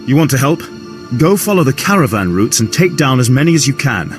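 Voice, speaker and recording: Field officer, male, acted, medium pitch: blunt, military, weary of war; game cutscene under clear music. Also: very animated, fairly quick, lightly acted. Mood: frank, businesslike. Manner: brusque, military. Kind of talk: video game cutscene acting. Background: game score.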